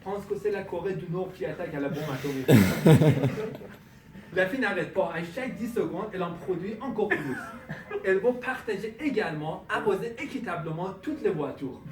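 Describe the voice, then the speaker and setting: A man giving a humorous speech at a speaking club, telling a comic story with pauses for the punchlines. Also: high voice. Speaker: contest speaker. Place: club meeting room. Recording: echoey room, from far off. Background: audience laughter.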